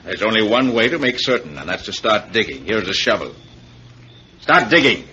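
A man's voice: on the low side, sing-song, moderate pace, bright, forceful tone. An actor playing a haunted, suspicious rich man, brooding and accusing, in 1940s radio mystery style. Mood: grimly insistent. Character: haunted, suspicious wealthy man turning insistent. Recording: dull, hissy old radio recording.

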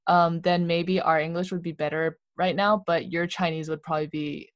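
A young woman chatting casually with friends in a relaxed, thoughtful way, with some hesitant fillers. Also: fairly low pitch, normal speed, dry recording, studio quality. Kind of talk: casual chat.

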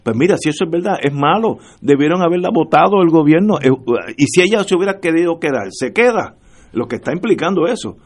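A man's voice: fairly high pitch, lively intonation, fast. A man with a Puerto Rican accent hosting a political talk show, speaking emphatically and passionately and repeating phrases for emphasis. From Puerto Rico. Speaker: radio talk show host. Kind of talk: political commentary.

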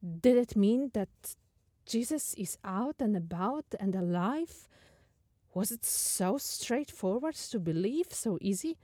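A woman with a Polish accent giving a thoughtful, questioning talk on faith as a podcast host, sometimes halting over her words. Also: medium pitch, lively intonation, dark tone, dry recording, clean studio sound.

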